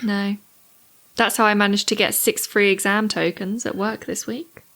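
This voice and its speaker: A British woman speaks as a podcast co-host in an informal, conversational style. Her voice sits at an average pitch for a woman, and she comes across as agreeable and unscripted. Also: fairly even intonation, normal speed, gravelly, fairly bright tone. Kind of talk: casual podcast chat.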